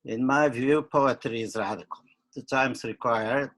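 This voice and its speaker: An older man from Romania giving wry, deadpan opening remarks with many hesitations, on a webcam video call from a book-lined study at home. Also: medium pitch, normal speed. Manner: wry, deadpan humor with frequent uh fillers. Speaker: curator and poet. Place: home study with bookshelves, indoors.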